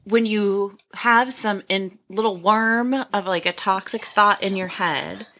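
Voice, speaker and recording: Thoughtful bright-voiced woman. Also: mid-range voice, steady tone, slow. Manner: thoughtful, hesitant, lots of "like" fillers. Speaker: podcast co-host. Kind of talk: reflective casual chat.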